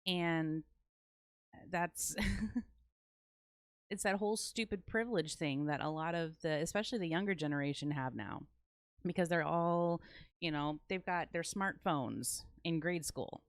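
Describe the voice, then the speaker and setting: A Midwestern woman with a lowish voice hosts a casual podcast chat, outspoken and blunt, with no holding back on swearing. Her voice is recorded close and dry, with almost no room sound. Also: measured pace, no echo. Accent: Midwestern American. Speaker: podcast host.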